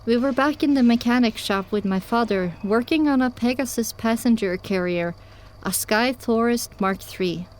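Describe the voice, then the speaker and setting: A woman reading scripted first-person story narration as the narrator of an audio drama. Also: on the high side.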